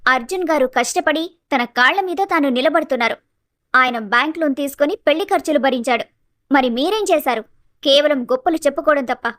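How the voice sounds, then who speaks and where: A woman playing a composed, outspoken young daughter-in-law in an animated family story, speaking firmly and politely with reproach. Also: high-pitched, fairly quick. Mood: firm, reproachful.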